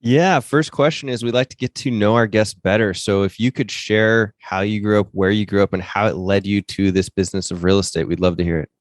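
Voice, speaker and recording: A man's voice: lowish voice, fairly even intonation, fairly quick, hardly any pauses. A man co-hosting a podcast over a video call, asking questions in an easygoing way. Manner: easygoing, brief replies. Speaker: podcast co-host. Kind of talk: casual podcast interview.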